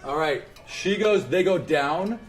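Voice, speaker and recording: Higher-voiced man game-mastering in an echoey room. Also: lively intonation, measured pace. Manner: casual, sweary, improvised narration. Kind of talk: narrating a tabletop role-playing game. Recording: echoey room with music behind. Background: music.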